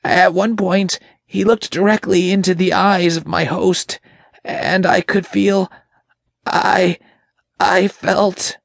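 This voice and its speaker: Cowering impure spirit, pitched way up, slow, creaky, timid and servile, audiobook villain's minion. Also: male voice, level tone, dry recording, clean studio sound, full character voice. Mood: nervous, halting. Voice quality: raspy, creaky, breathy, high-pitched. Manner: timid, halting, whimpering, slow. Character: groveling, frightened male impure spirit, servile demon underling. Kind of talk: dramatic audiobook character voice.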